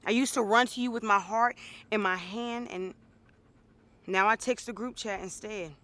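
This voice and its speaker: A woman playing a wounded, quietly resentful girlfriend in a poetic, deliberate dramatic monologue. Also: mid-range voice, natural voice. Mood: wounded, confessional. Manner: poetic, deliberate, emotional delivery. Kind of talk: dramatic spoken-word monologue. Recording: music playing behind.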